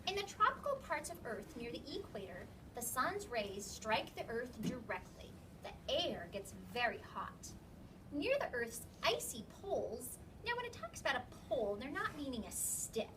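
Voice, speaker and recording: A woman teacher reading aloud to young children, explaining as she goes and checking in with questions. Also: a little high. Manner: instructive, checking understanding with questions and frequent "okay". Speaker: elementary school teacher. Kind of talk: interactive read-aloud to a class of young children. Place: classroom, recorded from across the room. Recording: distant mic, noisy classroom background.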